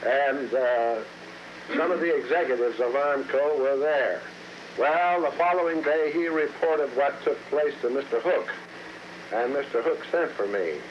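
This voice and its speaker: A man's voice: mid-range voice, unhurried, slight background noise. An older man telling stories of leading a company band, quoting his old conversations in a plainspoken way. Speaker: former bandleader. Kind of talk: archival interview reminiscence. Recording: dull archival radio recording with music.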